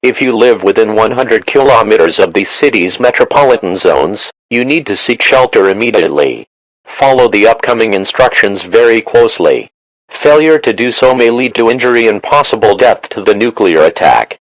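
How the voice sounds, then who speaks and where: A man's voice: on the low side, moderate pace, dry recording, poor audio. A synthetic male voice reading a scripted emergency broadcast announcement in a formal, automated manner. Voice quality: synthetic text-to-speech voice. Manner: flat, formal, automated delivery.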